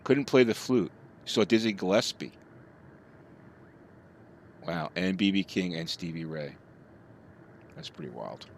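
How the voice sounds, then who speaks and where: A man's voice: deep voice, expressive, a little slow, gravelly, pauses often, a little hiss or hum. A middle-aged man chatting casually with his live chat while he plays, rambling from topic to topic. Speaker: streamer.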